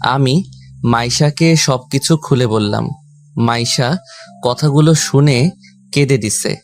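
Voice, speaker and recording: A man's voice: mid-range voice, slow pace. A man reading a scripted first-person story as its narrator and lead character. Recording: background music under the voice. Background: music.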